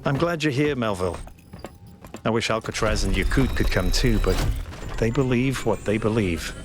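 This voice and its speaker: A man's voice: lowish voice, normal speed, noisy background, natural voice. A man acting a practical, amiable robot mayor in a video game, casual and a little dry. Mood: wry.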